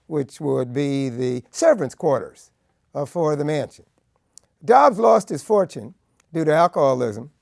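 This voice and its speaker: Older American man, seventies, law professor recounting personal history; unhurried delivery, fry-edged voice. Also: on the high side, a little mellow, dry recording, studio quality. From Connecticut, United States. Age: 70s. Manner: deliberate, measured, in short phrases. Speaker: law professor and civil rights lawyer. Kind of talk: personal oral history storytelling.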